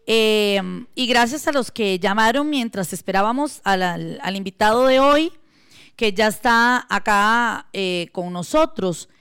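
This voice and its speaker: A woman's voice: medium pitch, lively intonation, measured pace, a bit bright, clean tone. A woman with a Costa Rican accent hosting a radio talk show, speaking hesitantly with many fillers. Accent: Costa Rican Spanish.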